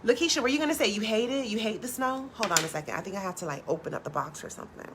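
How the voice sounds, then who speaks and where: A tarot reader chats with her viewers on a live stream, soft-spoken and laid back. Her natural voice sits low and she rarely raises it. She fills her talk with "like" and swears casually, over a faint background hiss. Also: expressive, very fast.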